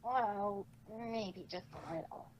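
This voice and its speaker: A woman's voice: mid-range voice, slow pace, highs cut off, natural voice. A woman voicing a small young male dragon, cheeky and bashful, talking offhand in a cartoon fan story. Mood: shy, evasive. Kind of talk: amateur cartoon fan fiction reading. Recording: faint background noise.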